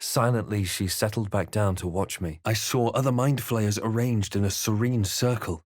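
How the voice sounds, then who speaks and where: An actor playing a composed, brooding adventurer in a video game, recounting the journey with measured, grave, dramatic narration. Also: male voice, on the low side, a little mellow, no room sound, studio quality, close to an everyday voice.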